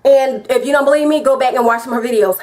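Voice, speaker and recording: Southern US woman vlogger, slightly high voice, emphatic, repeats her points, direct address to camera. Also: fast, darkish tone. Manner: emphatic and repetitive, talks straight to viewers. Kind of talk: casual opinion vlog.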